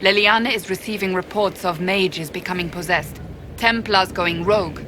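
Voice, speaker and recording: A woman voicing a serious, dutiful warrior advisor in a video game, speaking formally, gravely and directly. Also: on the low side, moderate pace, background noise, natural voice. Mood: concerned.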